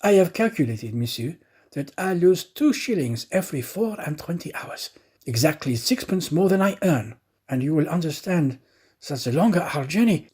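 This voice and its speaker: A man voicing a talkative, excitable, naive French manservant in a storybook, chatty and emphatic. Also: a little high, normal speed, husky, voice pushed up. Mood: worried, aggrieved.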